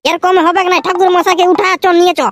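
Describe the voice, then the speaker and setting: A shrill, pitch-shifted village lad acted by a man in a comic cartoon, pushy and impatient. He fires out rustic demands quickly in a Purulia Bengali accent, on a clearly noisy track. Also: level tone. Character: pushy, impatient village youth, male exam student.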